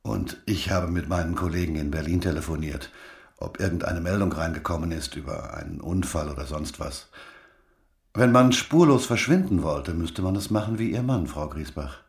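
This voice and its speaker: An actor playing a laconic police detective in a radio crime drama, asking things in a deliberate, probing way. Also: male voice, low-pitched, expressive, roomy sound. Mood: probing, matter-of-fact. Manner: deliberate, questioning.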